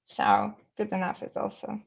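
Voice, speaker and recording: A woman from Russia with a Russian accent, answering casually and somewhat haltingly as an interview guest. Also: medium pitch, sing-song, vocal fry, husky, muffled recording.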